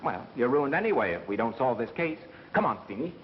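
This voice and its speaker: Through a muffled, hissy old film soundtrack comes a man acting as a defiant radio engineer. He is brisk and assertive, and his voice swings animatedly in pitch. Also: sing-song, natural voice. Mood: firm, challenging. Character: quick, defiant male radio engineer standing up to his bosses. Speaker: actor in a film. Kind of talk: 1930s mystery film acting.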